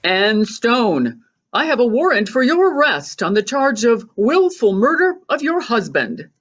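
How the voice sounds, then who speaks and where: A woman playing a brisk, wry police inspector in a radio drama, slightly dull recording. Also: fairly high pitch, very animated, fairly bright tone, slightly rough, exaggerated voice. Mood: stern, official. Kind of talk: old-time radio drama acting.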